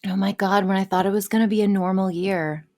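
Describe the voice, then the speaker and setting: A woman's voice: medium pitch, fairly even intonation, brisk, a little mellow. A woman chatting casually on a podcast, talking in a candid, wry way with plenty of swearing. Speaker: podcast guest, audio creator.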